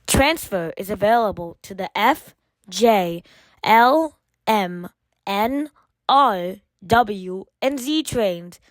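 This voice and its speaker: Measured woman making dry, scripted transit announcements. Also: mid-range voice, animated, dry recording, rough recording. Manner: measured, formulaic, segmented phrasing. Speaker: automated train announcer.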